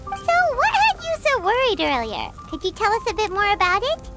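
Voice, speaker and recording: Childlike female game companion: extremely high, very animated, blunt, inquisitive. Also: moderate pace, loud music behind the voice, full character voice. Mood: curious. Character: tiny, excitable, childlike girl adventuring companion.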